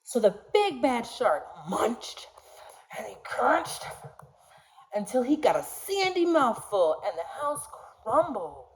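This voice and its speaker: A woman narrating a children's storybook aloud in an expressive, deliberate storytelling manner. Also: fairly high pitch, low-quality recording.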